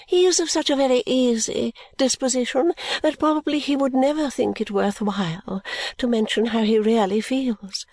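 A woman's voice: on the high side, normal speed, darkish tone, no room sound. Woman doing a proud, lecturing lady. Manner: stately and self-assured, lecturing. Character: middle-aged woman, proud, opinionated, thrice-married. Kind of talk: audiobook reading.

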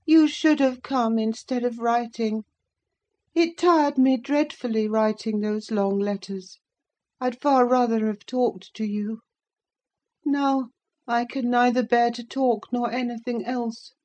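A frail, querulous teenage boy who whines at every discomfort, as a woman reads him in an audiobook. His voice sits high and thin with a fretful edge. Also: steady tone, slow pace, darkish tone. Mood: peevish. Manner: whining, querulous. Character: sickly peevish teenage boy invalid.

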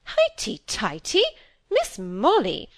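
A woman's voice: on the high side, huge pitch swings, slow pace, no echo, studio quality, voice pushed up, full character voice. A woman voicing a stern, bossy older spinster in a storybook, speaking in an emphatic, sententious way. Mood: indignant. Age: middle-aged or older.

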